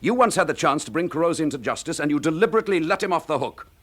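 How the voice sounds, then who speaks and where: An actor with a British accent playing a stern, weary, dutiful chief inspector in a radio drama, clipped and authoritative. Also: male voice, fairly high pitch, animated, rapid-fire, a bit bright. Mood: stern, angry.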